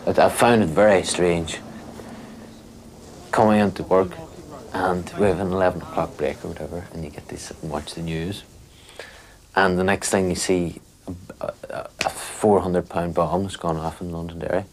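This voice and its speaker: A man's voice: low-pitched, fairly even intonation, normal speed, a little hiss or hum, low-quality recording. A young man, speaking thoughtfully in a documentary interview. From Northern Ireland. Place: small bedroom.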